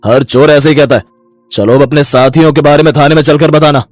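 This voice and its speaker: A man's voice: a little high, fairly even intonation, a little fast, dry recording, clean studio sound, soft background music, close to an everyday voice. A man playing a firm policeman in an audio story, speaking formally and emphatically. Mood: stern.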